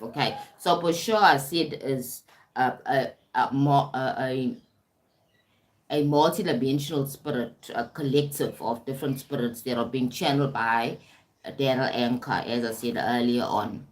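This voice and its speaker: A woman's voice: extremely low pitch, a little slow. A woman hosting a casual self-development livestream, chatty, often stumbling over words and checking in with viewers. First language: English. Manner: warm-toned chatty, calls viewers "sweetie pie", frequent "right?" and restarts. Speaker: livestream host.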